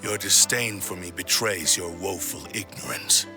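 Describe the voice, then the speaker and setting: A man's voice: sits low, unhurried, music in the background. A man voicing a proud, bitter spirit of a cursed sword in a video game, speaking in a theatrical, haughty, menacing drawl. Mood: cold, menacing. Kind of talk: dramatic video game dialogue.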